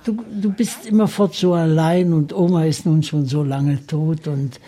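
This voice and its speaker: A man's voice: fairly high pitch, fairly even intonation, measured pace, mellow. An actor playing an old-fashioned, frank widowed grandfather in an improvised radio drama, earnest and a bit hesitant. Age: older.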